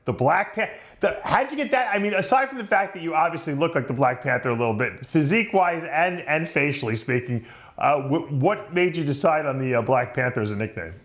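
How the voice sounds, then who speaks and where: A man's voice: fairly high pitch, expressive, brisk, a bit bright. A middle-aged man from New York hosting an interview, chatty and easygoing, with frequent restarts. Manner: chatty, many restarts, laughs easily. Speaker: interview host. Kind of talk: casual interview chat.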